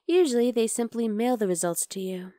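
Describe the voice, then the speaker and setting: A woman's voice: a little high, brisk, dry recording, studio quality, close to an everyday voice. A woman playing an obsessive, chatty vampire lab worker in an ASMR roleplay, coaxing and teasing the listener. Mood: coy, chatty. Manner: conversational, teasing, coaxing, with soft asides.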